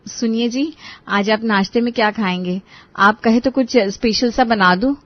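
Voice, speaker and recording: A woman's voice: on the high side, moderate pace, lightly acted. Woman voicing a scheming bossy housewife, muffled sound. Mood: sugary, feigned concern. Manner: scolding, sarcastic. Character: scheming, bossy elder daughter-in-law, sharp-tongued wife. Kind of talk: Hindi family cartoon voice acting.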